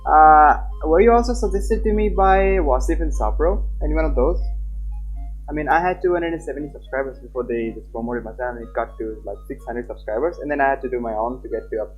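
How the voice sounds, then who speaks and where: A streamer reading a visual novel aloud live and chatting casually with viewers. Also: male voice, mid-range voice, lo-fi sound, faint music behind. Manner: animated, chatty, quick laughs.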